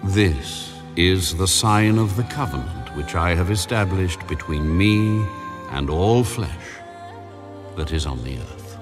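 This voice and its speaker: God as a solemn, commanding deity in a dramatized audio scripture. The voice is very deep, and each weighty pronouncement comes slowly over soft music. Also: male voice, lively intonation. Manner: slow, measured, grave pronouncements.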